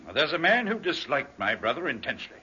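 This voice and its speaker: An actor playing a murdered man's insinuating, falsely reluctant brother in a radio drama, with a raspy voice. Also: mid-range voice, sing-song, fast, pushed and bright, heavily acted. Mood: insinuating, hesitant. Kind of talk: 1950s radio drama acting. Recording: muffled old radio recording.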